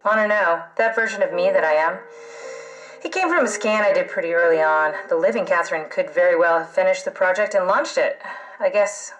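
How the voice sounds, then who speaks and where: A woman's voice: lowish voice, a little fast, faint music behind, lightly acted, low-quality recording. An actress playing a wry, pragmatic woman scientist in a video game, with a matter-of-fact manner. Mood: explanatory.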